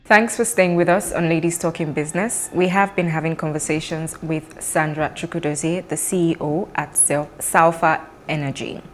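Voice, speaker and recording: Very low-voiced woman hosting. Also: steady tone, normal speed, few pauses, faint music behind. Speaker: talk show host. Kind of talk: interviewing a guest.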